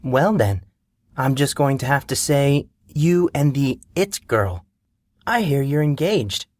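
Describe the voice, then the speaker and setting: A man voicing a sharp-tongued, smug young woman student, talking in a dry, sarcastic, teasing way. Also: medium pitch, animated, slow pace, dry recording. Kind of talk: audiobook character voice.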